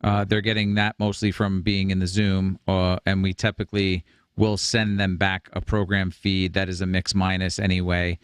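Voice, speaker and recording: A man's voice: low voice, completely flat delivery, normal speed, clear, resonant tone, no echo. A man in his forties moderating a webinar in a conversational way, speaking into a close studio microphone. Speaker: host and moderator. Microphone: close broadcast mic on a boom arm.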